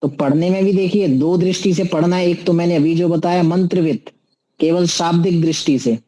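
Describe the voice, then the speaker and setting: A man's voice: fairly high pitch, warm tone, rough recording. A man giving an explanatory religious discourse as a teacher, didactic, posing questions and answering them himself, with recited Sanskrit verses. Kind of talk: explanatory sermon, teaching scripture to listeners.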